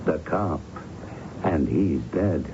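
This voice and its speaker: An actor playing a folksy, menacing gangster in a radio drama, with slangy, insinuating talk full of tag questions. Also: male voice, rumbling low, expressive, slow, heavily acted. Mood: smug, menacing. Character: folksy, smooth-talking, menacing male gangster. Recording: muffled, noisy old radio broadcast.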